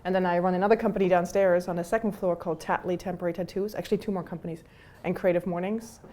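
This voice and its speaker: Mellow-voiced woman from Switzerland. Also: lowish voice, steady tone, very fast. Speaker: entrepreneur. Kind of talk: casual conversation. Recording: faint room ambience.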